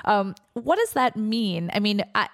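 A woman's voice: mid-range voice, sing-song, measured pace, vocal fry. A woman hosting a radio interview show from her home, enthusiastic and gushing, with frequent "uh"s.

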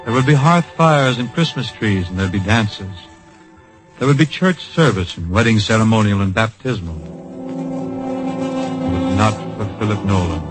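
An actor narrating a tragic radio drama in a solemn, poetic, storytelling manner. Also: male voice, lowish voice, moderate pace, natural voice, rough recording. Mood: solemn, lyrical. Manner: lyrical, grave storytelling. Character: solemn, poetic male narrator of a patriotic tragedy. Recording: vintage radio recording with music behind.

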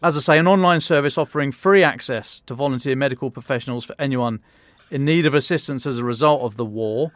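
A man's voice: mid-range voice, fast talker, no echo. A British man presenting a news briefing as a podcast host, explaining informally with wry conversational asides. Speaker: podcast host and defence journalist.

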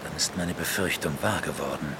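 An iron angel in a horror audio drama. The male voice is very deep and portentous, with solemn formal phrasing, set against clear background noise. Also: fairly quick. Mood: solemn, foreboding.

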